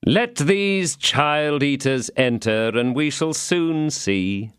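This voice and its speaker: A grim swordsman speaks slowly with cold calm, voiced by a man. His words are measured and the recording is close and dry. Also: fairly high pitch, clean tone, dry recording, voice pushed up. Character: calm, grim male swordsman behind a door.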